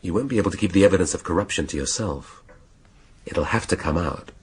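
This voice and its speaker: An actor playing a shrewd British police inspector in a radio drama, questioning in a measured, drily needling way. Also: male voice, sits low, expressive, a little hiss or hum. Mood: firm, explaining.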